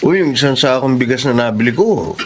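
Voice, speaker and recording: A man acting as a loyal middle-aged uncle in a Filipino radio drama. He has a mid-range voice and speaks in a measured, protective, firm way. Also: medium pitch, animated, slight background noise, close to an everyday voice. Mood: sincere, grateful.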